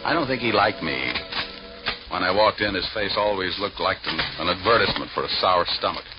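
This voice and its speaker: An actor playing a tough, weary private detective in a vintage radio noir drama, with dry, sardonic, clipped wisecracks. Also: male voice, low-pitched, brisk, lightly acted. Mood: wry narration. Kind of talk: 1940s-50s radio noir acting and first-person narration. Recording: muffled vintage radio recording, music behind.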